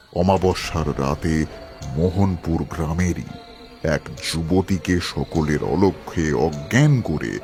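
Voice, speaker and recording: A man narrating an animated ghost folktale and playing a grave tantric priest, in a solemn, measured scripted delivery. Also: sits low.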